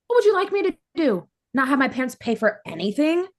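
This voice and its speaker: Woman acting high-voiced, spoiled young woman. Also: sing-song, a little fast. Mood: defensive. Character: Rachel, spoiled, sheltered, pleading young woman. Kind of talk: sitcom script table read.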